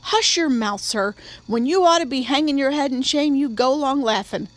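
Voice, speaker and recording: Brief: stern housekeeper, voiced by a woman, high and sharp, scolding the children. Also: expressive, normal speed, dry recording. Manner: scolding, indignant.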